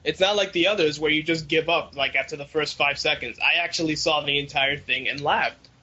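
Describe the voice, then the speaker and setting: Bright-voiced young man, dull lo-fi call. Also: fairly high pitch, a little fast. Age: young adult. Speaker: podcast co-host. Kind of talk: casual fan chat.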